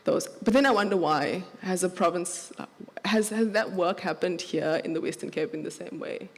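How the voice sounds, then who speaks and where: A woman's voice: on the low side, normal speed. Joburg woman speaking in a reverberant hall. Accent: Johannesburg South African. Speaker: panelist. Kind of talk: panel discussion. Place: festival venue with long reverb. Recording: live hall recording with reverb.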